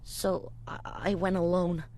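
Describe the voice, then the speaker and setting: A woman's voice: fairly low pitch, flat delivery, measured pace, dark tone, natural voice. Casting: woman to play young child survivor, earnest, eager, a bit hesitant, game-drama dialogue. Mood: earnest, shy.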